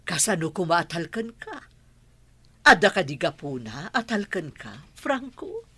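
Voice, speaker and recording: A woman with an Ilocano accent playing a shop-owning mother in a television drama, expressive and measured. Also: on the low side, slightly rough, exaggerated voice. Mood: exasperated, firm. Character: firm, exasperated mother who owns a furniture shop.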